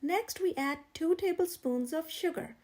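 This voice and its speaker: A woman's voice: very high-pitched, measured pace, a little mellow. A woman voicing a careful, patient turtle who reads out recipes in a storybook in an instructive way.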